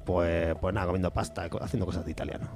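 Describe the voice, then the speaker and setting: A man's voice: low-pitched, fairly even intonation, fast, noisy background. A Spanish man playing an older, bumbling pope in a comedic podcast chat, rambling and trailing off mid-word. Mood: joking. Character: a bumbling late pope, male, older, jokey. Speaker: podcast co-host. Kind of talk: comedic improvised chat in character.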